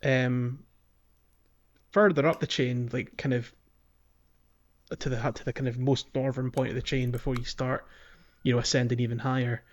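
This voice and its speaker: A man's voice: mid-range voice, flat delivery, moderate pace, long pauses. A man hosting a podcast in a casual, hedging, conversational way about video games. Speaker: podcast host. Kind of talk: casual video game discussion. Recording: dull lo-fi microphone.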